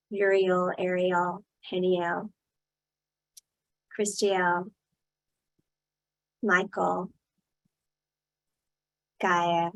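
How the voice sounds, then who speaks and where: Slow-spoken woman leading a guided meditation. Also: fairly low pitch, vocal fry, rough recording. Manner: unhurried short phrases with long pauses.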